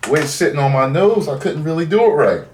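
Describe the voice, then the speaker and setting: A man's voice: fairly high pitch, sing-song, quick pace, darkish tone, recorded from a distance. A man hosting a casual unboxing video, chatting in a playful, slangy, exclamatory way. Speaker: video host. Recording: roomy, off-mic, faint noise.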